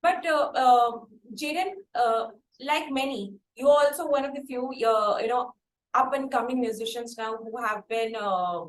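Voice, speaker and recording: A woman hosting a conversational interview, asking halting, wordy questions. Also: fairly high pitch. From India. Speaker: interview host. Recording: echoey room with light background noise.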